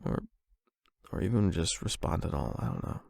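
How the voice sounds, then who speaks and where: A man performing an intimate confession roleplay as a nervous, lovestruck young man, speaking in a halting, self-doubting, conversational way into a close microphone. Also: deep voice, huge pitch swings, vocal fry, darkish tone, no room sound. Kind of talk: emotional confession roleplay, ASMR-style monologue.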